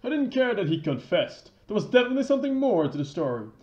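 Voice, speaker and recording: A man's voice: high voice, big pitch swings, fast, darkish tone, voice pushed up. A man voicing a cocky, devoted investigation chief with a swaggering manner. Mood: determined, confident. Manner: swaggering, says "baby" as a tag. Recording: dull lo-fi roomy mic.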